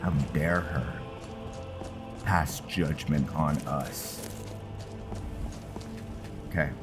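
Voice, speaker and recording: A man with a low voice commentates on a game as he plays. He speaks slowly and haltingly, thinking aloud as he goes, with clear music behind him. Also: fairly even intonation. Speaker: gaming video host, player. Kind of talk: unscripted game commentary, roleplay musing.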